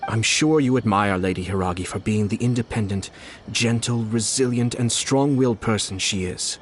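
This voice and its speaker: An actor playing a shrewd young nobleman in a video game, speaking in a measured, formal, diplomatic way. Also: fairly low pitch, slight background noise, close to an everyday voice. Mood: frank, gentle. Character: composed, shrewd young nobleman and commissioner, courteous strategist.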